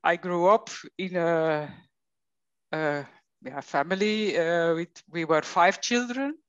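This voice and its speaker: A middle-aged Belgian woman with a Flemish accent, speaking English as a coach in a conversational interview, slow and thoughtful with frequent fillers. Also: lowish voice, clear, resonant tone, no room sound, highs cut off. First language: Dutch.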